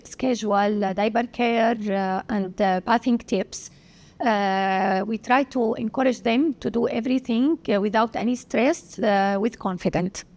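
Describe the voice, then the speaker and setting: A woman from Syria with an Arabic accent, a pediatrician answering interview questions haltingly, with many pauses and filler sounds. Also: on the high side, a little mellow. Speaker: pediatrician guest.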